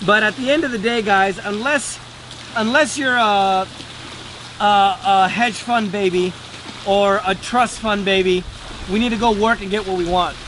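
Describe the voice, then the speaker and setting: A man's voice: high-pitched, fairly even intonation, measured pace, fairly bright tone, slight background noise. A man giving a casual, earnest motivational talk to camera, repeating words for emphasis. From Venezuela. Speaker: day trader and trading teacher.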